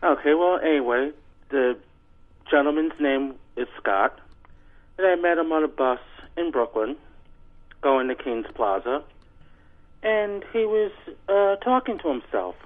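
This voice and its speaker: A man calling a radio show over a phone line, reading aloud and bantering in a halting, insistent, deadpan way. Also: a little high. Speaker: call-in guest on a radio show. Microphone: telephone. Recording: tinny, narrow phone line.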